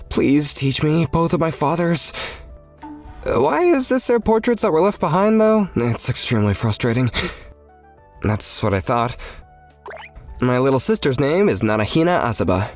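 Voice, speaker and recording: A man voicing an earnest, protective teenage brother who narrates his own story in a manga dub. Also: medium pitch. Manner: earnest, protective, self-doubting first-person narration. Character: earnest teenage high school big brother. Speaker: voice actor in a fan manga dub.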